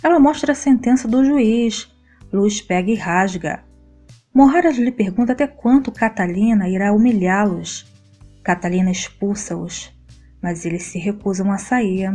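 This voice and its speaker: A woman narrating a scripted soap opera episode recap in a steady, even read-aloud delivery. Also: mid-range voice, a little slow, faint music behind.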